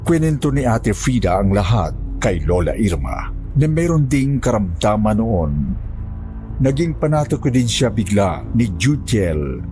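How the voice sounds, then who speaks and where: Guy narrating a scripted horror story, low voice, music clearly behind him. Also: very animated, a little slow, warm tone. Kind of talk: scripted first-person narration.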